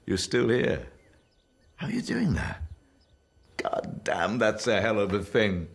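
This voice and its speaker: Deep-voiced, halting old comedian. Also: male voice, big pitch swings, vocal fry, slightly rough, full character voice. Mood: stunned, moved. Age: older. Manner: halting, reflective, tender. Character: elderly comedian grieving beside his dying partner. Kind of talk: intimate television drama monologue.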